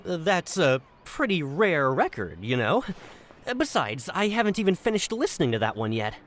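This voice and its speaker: A woman's voice: deep voice, very animated, moderate pace, slight background noise. A woman playing a casual, music-loving young record seller in a video game. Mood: hesitant.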